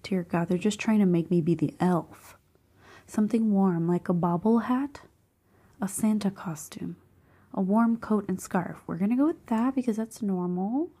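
A woman vlogging in a hushed half-whisper, slightly hoarse, reacting to quiz questions with bursts of excited disbelief. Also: mid-range voice, normal speed. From Cuban family background. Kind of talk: casual chat, reading quiz questions aloud.

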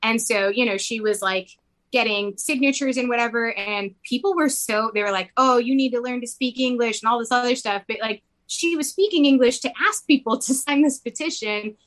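Bright-voiced young woman, chatty and rambling. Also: a little high, moderate pace. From Mexico. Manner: rambling, heavy use of "like" fillers. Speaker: interviewee. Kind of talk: casual conversational interview.

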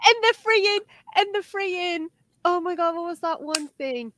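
A woman's voice: extremely high pitch, normal speed, fairly bright tone, a little hiss or hum. A young woman from Norway, a podcast co-host chatting casually and animatedly, with many restarts and fillers. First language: Norwegian. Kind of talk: casual chat.

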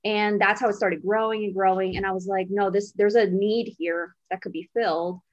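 A woman in her thirties from Brazil, a money coach and podcast guest, telling her story in an animated, chatty way and laughing easily. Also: medium pitch, normal speed. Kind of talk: casual interview storytelling. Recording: dull, slightly lo-fi sound.

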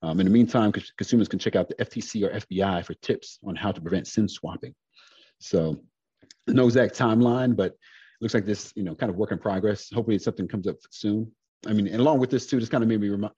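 A man co-hosting a podcast in casual chat, loose and unhurried, with lots of fillers and restarts. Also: lowish voice, warm tone, no room sound. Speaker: podcast co-host. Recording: dull, dry, husky-sounding mic.

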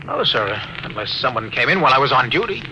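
A heavily noisy old radio drama recording carries an actor as a male alien agent disguised as a young officer of a spaceship. He is coolly defiant and speaks in classic radio science fiction style, at a middling pitch. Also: very animated, quick pace, lightly acted. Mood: evasive, nervous.

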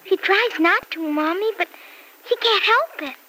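A woman's voice: very high voice, animated, unhurried, sharp tone, slight background noise, exaggerated voice, poor audio. An actress playing an earnest, polite little girl with a simple childlike manner in an old-time radio serial. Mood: earnest, sad. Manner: simple, childlike, matter-of-fact.

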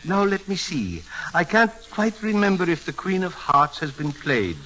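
An actor playing a sly old deacon who feigns shy innocence with flowery, fussy, mock-humble talk in 1940s radio comedy style. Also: male voice, sits high, big pitch swings, normal speed, bright, forceful tone, heavily acted, poor audio. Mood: innocent, fussy.

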